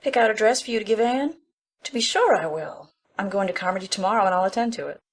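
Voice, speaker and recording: A woman's voice: medium pitch, lively intonation, quick pace. A woman playing an opinionated, bossy middle-aged village busybody in an audiobook drama, chatty and self-assured. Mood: brisk, obliging.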